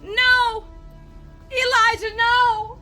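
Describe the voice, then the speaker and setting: A woman's voice: extremely high pitch, little pitch movement, extremely slow, pushed and bright, heavily acted, low-quality recording. A woman playing a jealous, anguished young female Jedi in an audio drama, crying out with fierce emotion. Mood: grief-stricken. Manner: crying out, impassioned. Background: music.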